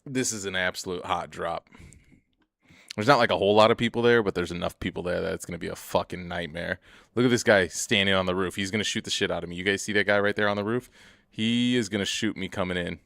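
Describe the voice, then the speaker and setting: A man's voice: low voice, fast, vocal fry, fairly bright tone, no echo, clean studio sound. A young man streaming a game, rambling and swearing as he chats to viewers about himself. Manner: rambling, profane, chatty, often laughs. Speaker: gaming streamer. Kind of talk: casual gameplay commentary.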